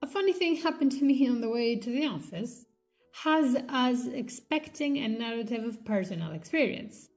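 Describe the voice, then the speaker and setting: A woman reading an academic textbook aloud in a measured, slightly halting way. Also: fairly high pitch, animated, a little mellow, soft background music. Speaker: reader of a linguistics textbook. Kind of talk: read-aloud textbook narration.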